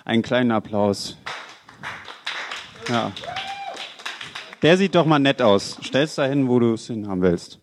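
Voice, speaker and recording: A man leads a church gathering at an ordinary pitch. He welcomes the speaker in a friendly tone, asks him a few questions, and prays quietly for him. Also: animated, unhurried, long pauses, some chatter behind, a little hiss or hum. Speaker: church host. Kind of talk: introducing a speaker and praying. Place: church hall.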